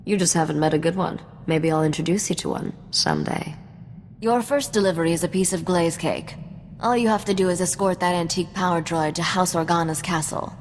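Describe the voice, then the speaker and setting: An actress plays a cool, worldly underworld contact in a video game. Her low voice is knowing, cynical and matter-of-fact, heard with long reverb over faint noise. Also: fairly even intonation, brisk, natural voice. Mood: matter-of-fact briefing. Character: cool, worldly, shrewd smuggler's contact, a woman.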